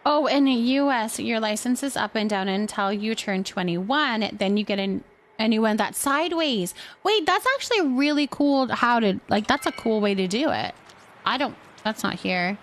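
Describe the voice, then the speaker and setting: A woman chatting casually while streaming a game, thinking out loud as she talks to her viewers. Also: on the high side, lively intonation, moderate pace, a little hiss or hum. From California, United States. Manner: chatty, thinking aloud to herself. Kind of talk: casual gameplay stream chat.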